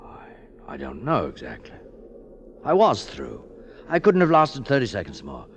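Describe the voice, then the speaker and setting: An actor playing a weary, gentle, self-doubting invalid mountaineer in a 1940s radio drama, speaking hesitantly and reassuringly, on a noisy vintage broadcast. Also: male voice, lowish voice, huge pitch swings, moderate pace. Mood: subdued, doubtful.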